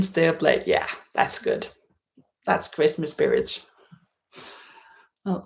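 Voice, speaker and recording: Casting: female livestream host, Norwegian accent, very unhurried delivery, gravelly fry, hesitant chatty style. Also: medium pitch, a little mellow. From Norway. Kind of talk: casual chat while knitting, reading out viewer comments. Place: indoors at home in a holiday stay.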